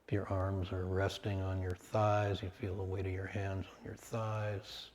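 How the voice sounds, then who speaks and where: Man, guided meditation teacher, lowish voice, unhurried delivery, calm, short phrases, long pauses.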